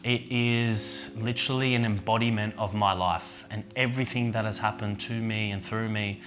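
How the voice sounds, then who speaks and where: A man's voice: on the low side, fairly even intonation, normal speed. A man, an event organiser and men's coach, talking earnestly and reflectively in a documentary interview. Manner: soft-spoken, earnest, with fillers.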